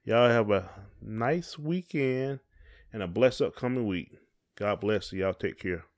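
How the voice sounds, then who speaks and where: A man's voice: lowish voice, measured pace. A middle-aged man, chatting casually as a podcast co-host in a folksy, encouraging way.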